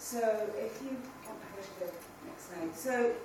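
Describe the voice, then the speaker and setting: A middle-aged woman speaks to a conference room in a relaxed, direct way, often restarting her phrases. She is picked up from a distance, so her voice rings around a very reverberant hall, and steady background noise runs underneath her. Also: medium pitch, measured pace. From New Zealand. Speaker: panel speaker. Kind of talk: informal conference talk. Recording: very echoey hall from far off, with ambient noise.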